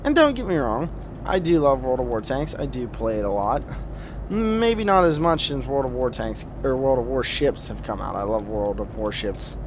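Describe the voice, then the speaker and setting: A man's voice: medium pitch, very animated, moderate pace, background noise. A man giving casual gameplay commentary as a video host, rambling and sweary with an enthusiastic manner and a slight speech impediment. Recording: music playing behind the voice.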